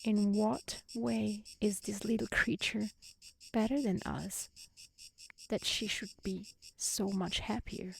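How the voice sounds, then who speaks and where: A woman voicing an envious, spiteful young elder sister in a hushed, soft-spoken fairy-tale reading. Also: medium pitch, steady tone, slow pace, gravelly, breathy edge, full character voice. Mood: envious, scheming.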